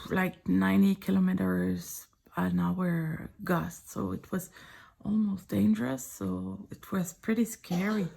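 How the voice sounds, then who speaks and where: Woman, French accent, middle-aged, gravelly, husky, soft whispery chat, slow. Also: lowish voice. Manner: soft-spoken, often whispering. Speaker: artist showing her sketchbook. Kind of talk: casual chat.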